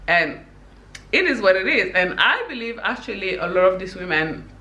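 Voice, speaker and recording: A woman's voice: medium pitch, very animated, moderate pace, pushed and bright, roomy sound. A woman from Senegal giving her opinions in a casual vlog, talking emphatically with many "you know"s. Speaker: vlogger.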